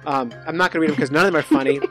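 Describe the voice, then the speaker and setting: A man's voice: on the high side, a bit bright. A man hosting a podcast in an informal, jokey way, chatting casually and thinking aloud as he goes through news stories. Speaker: podcast host.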